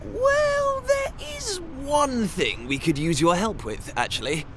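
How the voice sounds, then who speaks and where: A man's voice: high voice, very animated, slow, heavy background noise, full character voice. A man playing a hesitant, polite young villager in a video game, with a sheepish delivery. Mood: sheepish, pleading.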